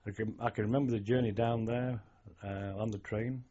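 A man's voice: fairly low pitch, steady tone, a little fast, dark tone, husky. An older man, a former sailor, thoughtfully recalling his childhood and navy days in an oral history interview. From England. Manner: reflective, storytelling, wry. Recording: dull lo-fi archive tape.

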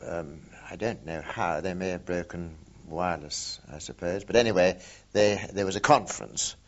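An older man with a very deep voice recalls his wartime service in a slow, halting way, filling gaps with ums and uhs. The recording sounds dull and lo-fi. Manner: hesitant, many ums and uhs, measured. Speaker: war veteran interviewee. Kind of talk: oral history recollection.

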